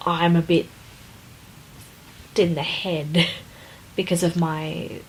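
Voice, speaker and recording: Woman podcast co-host, slightly low voice, hesitant and casual, faint background noise. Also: little pitch movement, drawn-out words, low-quality recording. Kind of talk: casual book discussion.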